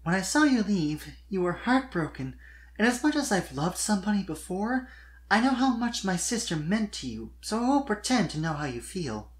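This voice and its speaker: A performer voicing a kind, perceptive young female unicorn pony in a soft, earnest way for a cartoon fan story. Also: medium pitch, big pitch swings, moderate pace, pitched above the performer's own voice, close to an everyday voice. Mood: tender, earnest.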